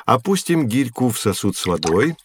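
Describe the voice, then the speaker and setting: A man playing a patient, genial science professor who explains in a measured, didactic way in a scripted educational audio drama. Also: mid-range voice, big pitch swings, a little hiss or hum, close to an everyday voice. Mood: explaining.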